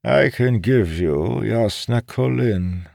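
A man acts out a sly old male prisoner in a fantasy audiobook. He talks slowly and pleasantly with quiet menace, his pitch lifted and his delivery calculating, in a dry close recording. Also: no room sound. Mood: persuasive, idle. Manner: smooth, unhurried, calculating. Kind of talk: dramatic audiobook character reading.

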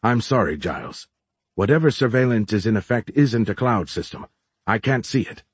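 A man voicing a calm, polite presence that speaks softly inside someone's mind, measured and formal. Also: fairly low pitch, husky, dry recording. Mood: apologetic.

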